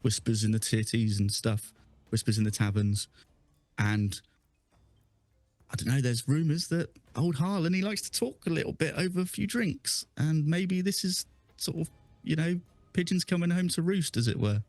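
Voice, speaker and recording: A man's voice: a little high, normal speed, darkish tone, no room sound, soft background music, voice pushed up, close to an everyday voice. A man voicing a nervous male carpenter who stammers and rambles evasively.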